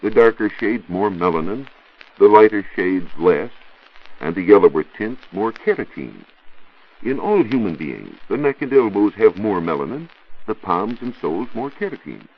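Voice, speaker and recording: American man, 1940s radio science explainer. Husky, animated delivery, didactic and formal, dull vintage recording. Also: fairly low pitch, normal speed. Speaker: science reporter on a radio show. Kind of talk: scripted 1940s educational radio talk. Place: radio studio.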